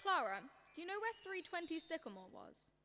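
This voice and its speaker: A woman's voice: very high voice, very animated, fairly quick, pushed and bright, faint music behind, exaggerated voice. A teenage girl playing a patient storyteller in a radio drama, explaining events. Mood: patient storytelling. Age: teens. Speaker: student radio drama actor. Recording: long hall-like reverb.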